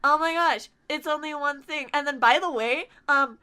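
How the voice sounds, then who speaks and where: A woman's voice: high voice, moderate pace, background noise. A woman ranting casually on a podcast in an emphatic, theatrical, sweary way. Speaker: anime podcast participant. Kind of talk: casual animated ranting chat.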